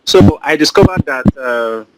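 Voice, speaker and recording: A man's voice: medium pitch, lively intonation. Male student on a video call, hesitant delivery, heavy noise behind him. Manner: halting, searching for words. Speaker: student asking a question. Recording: loud background noise on a call.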